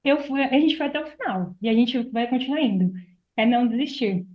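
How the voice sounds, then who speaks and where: The sound is narrow and phone-like. A Brazilian woman with a fairly high voice speaks as a podcast guest, in a conversational, motivational style. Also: expressive, fairly quick.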